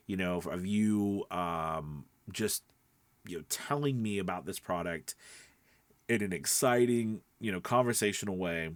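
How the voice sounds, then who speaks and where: Guy with a lowish voice n stuffy nose, chatty coach explaining stuff, really dry close sound. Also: no echo. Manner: conversational, emphatic, often checking in with the listener. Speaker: voice-over coach and podcast host. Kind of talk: informal instructional talk to camera.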